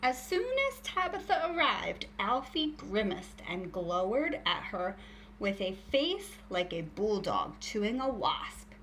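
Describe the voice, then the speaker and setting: A woman teacher reading a picture book aloud to young children in an expressive, deliberate storytelling way. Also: mid-range voice, slight background noise, rough recording.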